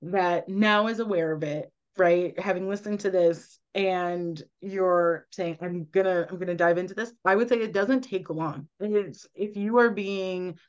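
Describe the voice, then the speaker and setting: A woman's voice: fairly low pitch, fairly even intonation, measured pace, few pauses, clean tone, muffled recording. A woman guest on a podcast, earnestly explaining at length and often checking in with "right?". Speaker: guest, medical intuitive.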